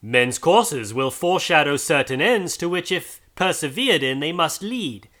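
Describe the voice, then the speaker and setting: Male reader playing a frightened old miser, lively and bright-toned, storybook audiobook. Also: on the high side, a little slow, pitched above the performer's own voice. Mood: pleading. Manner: emotional, theatrical delivery. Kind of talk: audiobook character voice.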